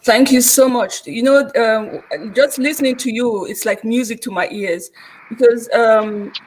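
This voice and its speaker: A woman's voice: fairly high pitch, measured pace, a little mellow, slight background noise, low-quality recording. A woman in her thirties hosting an interview, effusive and a little hesitant. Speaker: host. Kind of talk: conversational interview.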